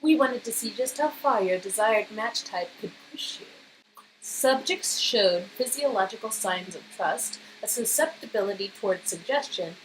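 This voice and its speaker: A woman voicing a smug, clinical villain in an interactive story game, speaking in a formal, condescending, measured way. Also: medium pitch, lively intonation, slight background noise, natural voice, poor audio. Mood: detached, condescending. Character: smug, coolly clinical woman villain running a sinister research facility.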